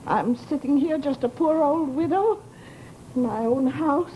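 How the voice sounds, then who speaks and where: A woman's voice: sits high, unhurried, warm tone, slight background noise, poor audio. An actress playing a nosy, aggrieved widowed landlady with an American accent in an old-time radio horror drama, talking in a garrulous, dramatic way. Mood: accusing, indignant.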